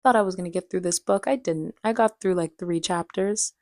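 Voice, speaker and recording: A woman's voice: lowish voice, brisk, dry recording. Young woman chatting casually, dry close sound. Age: young adult. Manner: animated, slangy, self-dramatizing. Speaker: vlogger and aspiring actress. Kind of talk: casual chatty vlog storytelling.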